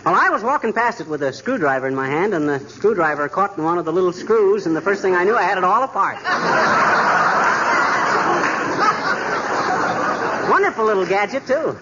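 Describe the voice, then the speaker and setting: An actor playing a boastful, bumbling husband in a 1940s radio comedy, with quick, blustery wisecracks and chuckles. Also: male voice, high-pitched, sing-song. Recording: muffled hissy 1940s radio broadcast.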